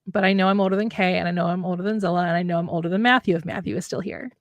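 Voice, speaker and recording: A woman's voice: lowish voice, steady tone, rapid-fire, no echo, muffled recording. A woman in her late thirties chatting casually as a live stream co-host, reflective and rambling as she rephrases herself. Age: late 30s. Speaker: writer co-hosting a live stream. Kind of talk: casual chat.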